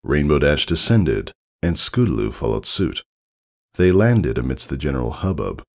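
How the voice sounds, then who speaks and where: A man narrates a story from a script. His voice is very deep and creaky, with fry in it. The intonation swings widely as he reads, keeping the delivery expressive. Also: moderate pace. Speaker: fan fiction reader.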